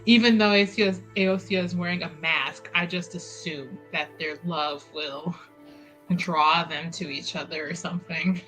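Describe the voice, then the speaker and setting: A woman's voice: fairly low pitch, steady tone, a little slow, fairly bright tone, soft background music, poor audio. Dry-joking woman player. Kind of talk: casual tabletop game chat.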